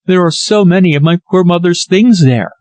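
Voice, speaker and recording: Distressed young woman, earnest and sorrowful, pitched up by a man reading. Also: animated, normal speed, a little mellow, no echo. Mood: tearful. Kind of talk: audiobook character reading.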